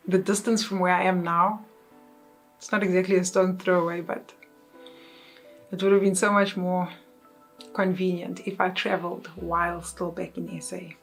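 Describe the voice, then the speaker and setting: A woman in her thirties from South Africa with a South African accent, chatting casually to camera in an upbeat, self-correcting way. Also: lowish voice. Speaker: YouTuber. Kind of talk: casual vlog chat.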